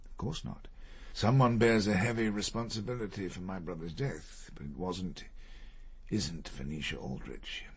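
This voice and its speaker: An actor playing a reserved middle-aged barrister in a radio crime drama, speaking formally and gravely. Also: male voice, deep voice, moderate pace, full character voice. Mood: grave, bitter.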